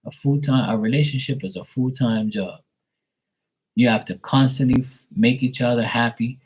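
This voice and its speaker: A man from Bermuda speaks in a husky, slightly breathy voice at an ordinary pitch. He rants bluntly and profanely to his live stream audience. His talk rambles on, casual and unscripted. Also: moderate pace, a little mellow.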